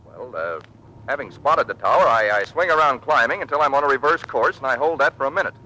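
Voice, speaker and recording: An actor playing an earnest, boyish young Navy rookie pilot, polite and eager, in old radio drama style. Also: male voice, mid-range voice, animated, quick pace, pushed and bright. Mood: eager, defensive. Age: young adult. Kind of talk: 1940s radio acting. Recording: faintly noisy vintage radio recording.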